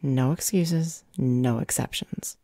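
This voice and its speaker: Woman as a low, deadpan bureaucrat. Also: takes it slowly, dry recording, studio quality, exaggerated voice. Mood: smug, officious. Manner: deadpan, dismissive. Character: curt, officious, unhelpful female bureaucrat at service window. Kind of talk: audio roleplay acting.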